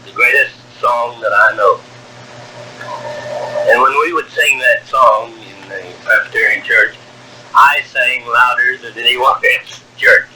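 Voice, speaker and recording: A man in his sixties from Wyoming, telling his life story on a home cassette tape, halting, with many fillers, and a strong voice. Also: mid-range voice, very noisy. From Wyoming, USA. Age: 60s. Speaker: narrator of own life story. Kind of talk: taped personal memoir. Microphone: cassette recorder.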